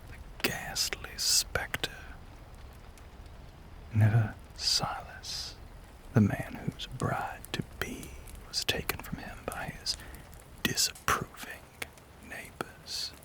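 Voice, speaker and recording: Male actor as brooding gentleman vampire, Southern US accent, lowish breathy near-whisper, genteel, tormented, intimate audio roleplay. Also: flat delivery. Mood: bitter, wry. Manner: slow, genteel, old-fashioned phrasing.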